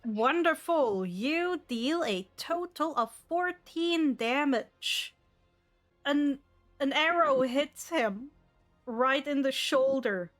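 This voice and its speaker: High female voice, slow pace, game master narration, tabletop role-play, faint music behind. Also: animated, a bit bright.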